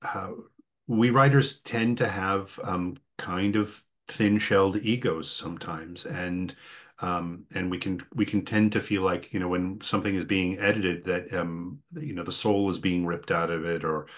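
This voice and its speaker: Deep-voiced male host, halting speech. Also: fairly even intonation. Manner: halting, many fillers and restarts. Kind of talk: conversational interview.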